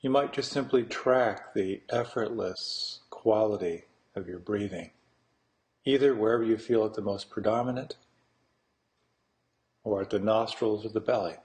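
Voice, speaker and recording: Low-pitched man guiding a meditation. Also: darkish tone. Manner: slow, deliberate, with long pauses between phrases. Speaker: meditation teacher. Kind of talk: guided meditation instruction.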